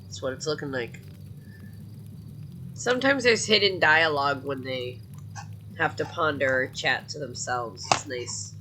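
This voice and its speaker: A man's voice: medium pitch, measured pace, pauses often, noisy background. A young man with a congested voice giving casual, rambling commentary to viewers while playing a game. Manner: chatty and rambling, talking to viewers. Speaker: gameplay streamer. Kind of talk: casual let's-play commentary.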